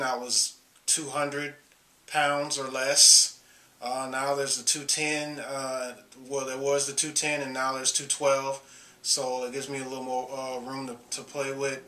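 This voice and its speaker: A man's voice: medium pitch, pushed and bright, roomy sound. A man in his late thirties, a bodybuilder, talking casually to his viewers with lots of "uh" and restarts. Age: 30s. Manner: halting, many fillers and restarts. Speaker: bodybuilder vlogger. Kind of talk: casual talk to camera.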